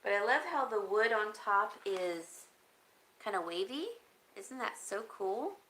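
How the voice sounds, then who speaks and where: A woman hosting a casual live chat, talking loosely and warmly about whatever comes up. Her voice is bright but turning raspy, and coughs break in often. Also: mid-range voice, lively intonation, a little slow, a little hiss or hum, poor audio. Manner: rambling, chatty, reads and answers viewer comments. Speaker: home decor vlogger.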